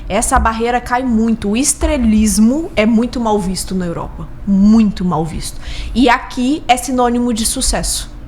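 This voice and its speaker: A Brazilian woman with a fairly high voice talks in a casual interview style. She is emphatic, doubling back on key words to drive them home. A little noise sits behind her. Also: normal speed, a little mellow. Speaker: podcast guest, business consultant.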